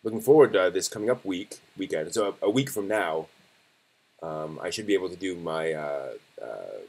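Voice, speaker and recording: Male streamer, fairly low voice, casual host delivery, conversational and a bit halting as he thinks aloud. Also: expressive, a little mellow. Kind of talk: casual live stream commentary.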